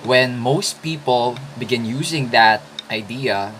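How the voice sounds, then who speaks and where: A man in his twenties from the Philippines, whose first language is Filipino, talking through writing tips in a casual vlog with thoughtful pauses and restarts. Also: medium pitch, fairly bright tone, a little hiss or hum. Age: 20s. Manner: slow, thoughtful, with hesitant restarts. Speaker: writing vlogger.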